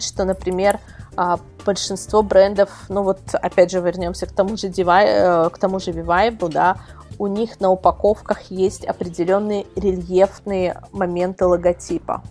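A woman's voice: mid-range voice, level tone, normal speed, darkish tone, faint music behind. A woman speaking as a guest expert in a casual podcast interview, chatty and colloquial as she explains things. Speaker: guest expert, portal editor. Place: remote video call.

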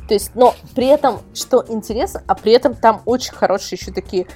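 A woman's voice: fairly high pitch, fast, warm tone, faint music behind. A woman speaking as a guest reviewer in a casual podcast discussion, emphatic and repeating words for stress. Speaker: guest, product reviewer and website editor.

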